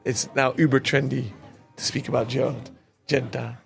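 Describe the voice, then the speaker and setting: A man hosting a conversational interview, deliberate in how he lays out his points. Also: medium pitch, little pitch movement, creaky, a bit bright, soft background music. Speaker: interview show host.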